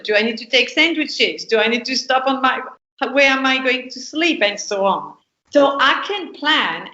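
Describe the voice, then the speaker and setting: A woman's voice: a little high, sharp tone. A woman from France with a French accent, a business lecturer explaining step by step as a podcast guest. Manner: earnest, explains with step-by-step examples. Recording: echoey room, dull lo-fi sound.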